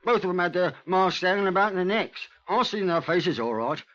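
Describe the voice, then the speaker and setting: An actor playing an eager, deferential porter in a 1950s radio drama, speaking colloquially. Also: male voice, sits high, animated, normal speed, a bit bright. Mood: eager, indignant. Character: eager cockney porter, working-class witness, deferential. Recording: dull old radio recording.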